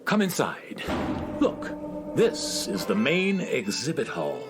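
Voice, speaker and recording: An actor playing a bumbling, politely reverent temple curator in a children's radio comedy, halting and deferential. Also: medium pitch, huge pitch swings, over music. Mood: flustered, apologetic.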